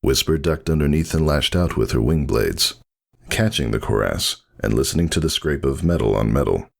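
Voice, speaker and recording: A man's voice: a bass voice, expressive, normal speed, vocal fry. A man narrating a story as a dramatic reading, solemn and theatrical. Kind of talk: dramatic scripted fan fiction narration.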